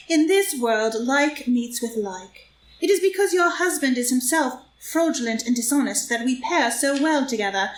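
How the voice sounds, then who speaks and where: A woman's voice: high voice, animated, measured pace. A woman playing a scheming, amused society blackmailer with arch, taunting theatrical delivery in a stage play reading. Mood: triumphant, taunting. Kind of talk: stage play read as audio drama. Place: indoor room, live group reading. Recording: roomy live group reading, faint noise.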